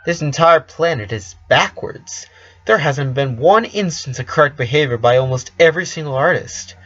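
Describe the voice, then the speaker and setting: A man's voice: a little high, expressive, moderate pace, a bit bright, pitched above the performer's own voice. A man as a haughty female pony star, muffled. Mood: scornful. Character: haughty complaining female pony singer on radio.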